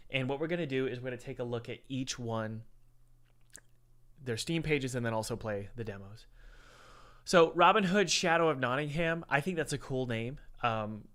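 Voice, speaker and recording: A man's voice: mid-range voice, fairly bright tone. A man hosting a casual livestream, rambling and repeating himself, sounding stuffy like he has a head cold. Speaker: livestream host reviewing indie games. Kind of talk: casual livestream chat with ad read. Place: home room, window open, cold.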